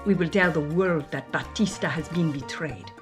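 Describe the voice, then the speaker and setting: A woman's voice: low voice, moderate pace, faint music behind, close to an everyday voice. A woman voicing a hostile revolutionary man who makes cold, menacing pronouncements in a dramatized story. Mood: cold, threatening.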